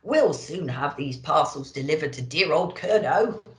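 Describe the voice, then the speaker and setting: A woman voicing a jolly old Santa Claus for a children's storybook, hearty and booming. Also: animated, unhurried, reverberant. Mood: merry.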